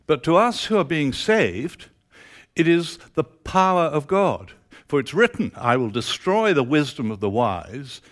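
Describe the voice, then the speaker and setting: A man preaching to a church congregation, mixing dry teasing humour with steady scripture reading. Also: mid-range voice. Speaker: guest preacher. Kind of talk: sermon. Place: church auditorium.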